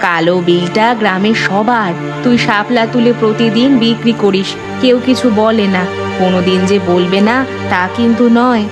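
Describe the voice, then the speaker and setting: A woman voicing a doting, sharp-tongued village mother in a Bengali cartoon, with an expressive, folksy, teasing manner. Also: medium pitch, normal speed, close to an everyday voice. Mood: gently scolding. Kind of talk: Bengali animated moral-tale voice acting. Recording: music bed behind the voice.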